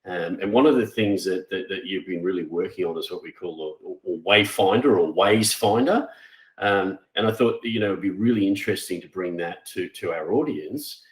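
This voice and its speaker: A man hosting an interview, speaking deliberately with hesitant restarts and repeated words. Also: deep voice. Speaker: interview host. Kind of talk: conversational interview. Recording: roomy echo.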